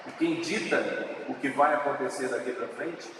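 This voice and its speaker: A man with a São Paulo countryside accent, giving an earnest, preaching public speech at an assembly event. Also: medium pitch. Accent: São Paulo countryside Brazilian Portuguese. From interior of São Paulo state, Brazil. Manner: earnest, preaching, slow with drawn-out emphatic words. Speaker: speaker at a legislative assembly event. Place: large assembly hall. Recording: echoey hall, distant mic, noisy.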